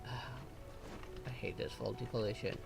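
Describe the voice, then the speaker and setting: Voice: medium pitch, level tone, moderate pace, creaky. A streamer giving casual playthrough commentary, with grumbling and sarcastic asides. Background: game music.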